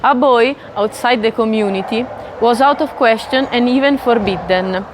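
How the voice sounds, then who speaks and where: A woman's voice: a little high, moderate pace, noisy background. A woman with an Italian accent narrating a story in a lightly conversational way.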